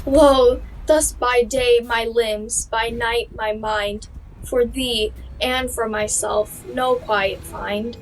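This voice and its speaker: A woman's voice: fairly high pitch, steady tone, slow pace, soft background music, rough recording. A young woman performing a verse monologue as a weary, sleepless lover. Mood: weary, longing. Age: young adult. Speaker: student drama performer. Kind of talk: dramatic monologue reading of verse.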